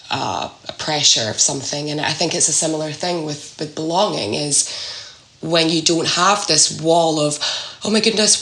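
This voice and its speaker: A woman talking reflectively and casually as an interview guest, halting and thoughtful as she searches for words. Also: low-pitched, vocal fry, fairly bright tone, roomy sound, low-quality recording. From Scotland. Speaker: podcast guest sharing a personal story.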